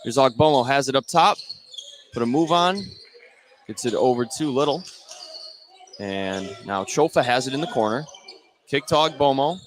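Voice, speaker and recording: A male play-by-play announcer gives live basketball commentary. His voice is medium in pitch. Gym noise can be heard faintly behind him. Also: moderate pace, long pauses. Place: indoor gym beside bleachers. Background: gym ambience.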